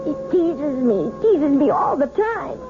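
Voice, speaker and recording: Troubled little kid in old radio drama, slow and halting, really high voice, music under it. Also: female voice, very animated, phone-quality sound, heavily acted. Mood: resentful, sulky. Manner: childlike, halting, repeating words. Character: hypnotized woman regressed to frightened, tearful little girl. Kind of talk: 1970s radio drama acting.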